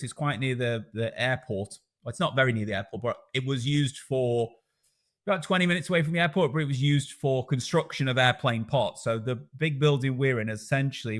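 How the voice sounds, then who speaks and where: A man from Stoke in England with a Stoke accent, a guest in a casual interview, talking in a rambling, self-correcting way. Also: medium pitch. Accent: Stoke-on-Trent English. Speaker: podcast guest, school director.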